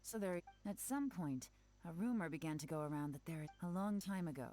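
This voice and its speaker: An actress playing a composed, shrewd woman investigator in a video game, measured and knowing. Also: fairly low pitch, animated, mellow, faint music behind, lightly acted. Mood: composed, analytical. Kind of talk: video game character dialogue.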